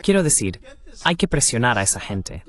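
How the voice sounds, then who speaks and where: A man speaks as a panelist in a mid-pitched voice. He lays out political commentary in an explanatory, insistent manner. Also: sing-song, normal speed, fairly bright tone.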